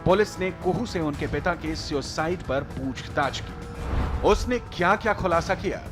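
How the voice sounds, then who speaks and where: A man's voice: on the high side, unhurried. An Indian man reading a scripted, dramatic news report as a voice-over. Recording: music bed behind voice. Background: background music.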